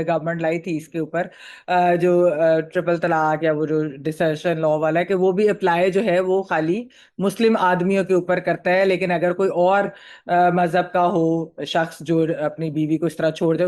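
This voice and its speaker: A woman with a Pakistani accent giving halting, discursive analysis as a panelist, mixing in English phrases. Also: on the low side, a little mellow. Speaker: panelist and film critic.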